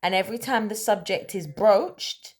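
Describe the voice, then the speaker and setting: Woman, medium pitch, chatty story-time host with an animated, emphatic way of talking. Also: normal speed. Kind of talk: casual conversational storytelling.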